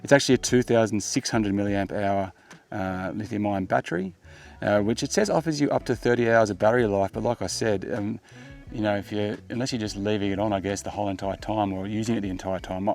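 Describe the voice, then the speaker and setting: Low-voiced Australian man reviewing gear. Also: quick pace, creaky, a little mellow, soft background music. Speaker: tech vlogger, product reviewer. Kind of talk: casual product review to camera.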